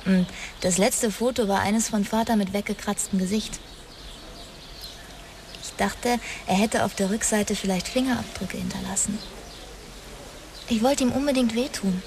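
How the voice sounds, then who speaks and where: An actress playing a bitter, wounded young woman making a halting confession in a radio drama. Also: mid-range voice, noisy background, lightly acted. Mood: resentful. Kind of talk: dramatic radio play dialogue.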